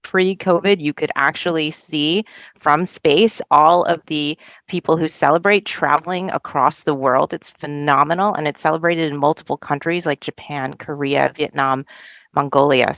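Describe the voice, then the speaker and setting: A woman's voice: low-pitched, a bit bright, dry recording. A woman speaking as a panelist on a video call, upbeat and enthusiastic. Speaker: panelist, tech director.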